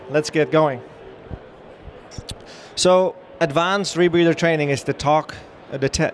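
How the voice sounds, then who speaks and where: A middle-aged Dutch man talks to a crowd at a trade show, upbeat and chatty, often pausing on fillers and restarting his sentences. His voice sits somewhat high for a man. A faint wash of show-floor noise runs behind him. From Netherlands. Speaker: dive training director giving a trade-show talk. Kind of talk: live presentation to an audience.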